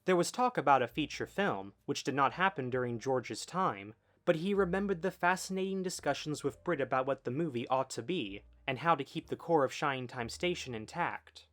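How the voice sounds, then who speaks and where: A man's voice: mid-range voice, lively intonation, normal speed, hardly any pauses, a bit bright. A man reading scripted documentary narration as a video essay narrator. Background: background music.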